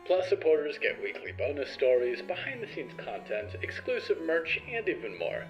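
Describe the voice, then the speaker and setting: A man narrates from a script for an audio fiction show. His delivery is theatrical and deliberate, with a lively range of pitch, and music plays behind him. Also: dull audio.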